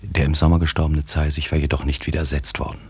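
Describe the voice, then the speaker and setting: Male narrator, radio drama. Low, rough-edged voice, scripted literary prose told with dry irony. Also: flat delivery, fast talker, a little mellow.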